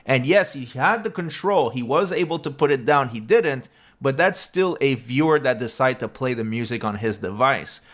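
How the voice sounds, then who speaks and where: Lively, explaining commentary man. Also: mid-range voice. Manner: measured, explaining and making a case. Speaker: commentary video narrator.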